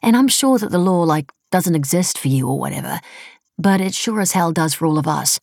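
A female narrator plays a prickly, scornful woman in an audiobook novel. Her voice is husky and full of fry, close and dry. She talks bluntly and sarcastically, with a casual, defensive edge. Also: on the low side, animated, a little fast, a little mellow, dry recording. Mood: indignant. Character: defensive, scornful, foul-mouthed woman activist, earnest and prickly.

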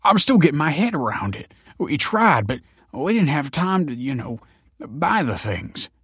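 A man voicing a whiny, hapless, scrawny deadbeat foster father who moans and mumbles defensive excuses in a strained, husky voice. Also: on the high side, sing-song, measured pace, a bit bright, no room sound, clean studio sound, pitched above the performer's own voice, heavily acted.

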